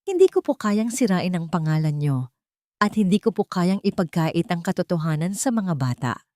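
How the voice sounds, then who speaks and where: A woman's voice: on the low side, big pitch swings, moderate pace, mellow, no echo, clean studio sound. A woman voicing a humble, tearful, protective housemaid in an audiobook drama, pleading and deferential.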